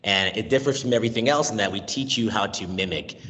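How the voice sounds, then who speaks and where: An American man in his thirties, a language teacher talking as an interview guest, lively and given to acting out voices in his stories. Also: fairly low pitch, fast, big-room sound. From United States. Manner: animated, acts out voices and accents in his stories. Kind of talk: casual interview talk. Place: remote call from Mexico.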